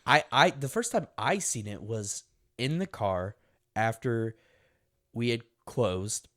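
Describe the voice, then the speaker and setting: A man's voice: mid-range voice, expressive, takes it slowly, a bit bright. A young man co-hosting a casual podcast, chatting loosely, laughing often and restarting his sentences. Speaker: podcast co-host. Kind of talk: casual chat.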